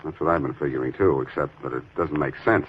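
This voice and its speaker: An actor playing a wry, self-assured amateur sleuth, with an American accent and dry wisecracking delivery in 1940s radio style. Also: male voice, rumbling low, quick pace. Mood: wry, puzzled. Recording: muffled, hissy 1940s radio recording.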